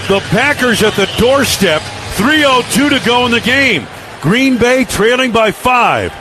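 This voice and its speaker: A man's voice: very high voice, measured pace. A man calling live football play-by-play for radio in punchy, clipped phrases over a noisy stadium broadcast feed. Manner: punchy clipped phrases, building excitement on plays. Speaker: play-by-play announcer.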